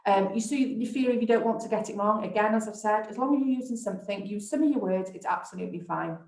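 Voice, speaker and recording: A woman's voice: medium pitch, fast, a little mellow. A woman presenting a training webinar, talking in a reassuring, encouraging way. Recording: echoey room.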